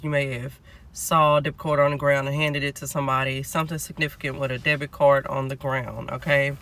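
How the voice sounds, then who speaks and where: A man's voice: fairly high pitch, sharp tone. A man giving a collective tarot reading, sounding nasal as he gets over a cold, chatting and checking in with listeners. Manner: chatty, keeps checking in with "okay?". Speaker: tarot reader.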